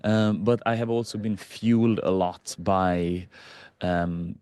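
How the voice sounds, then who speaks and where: A man from Sweden speaks in a low voice with a dry, close sound. He talks casually and reflectively in a conversation. His delivery is halting, and he repeats phrases as he works through his thoughts. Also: steady tone, a little mellow, smooth, no echo. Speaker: podcast participant.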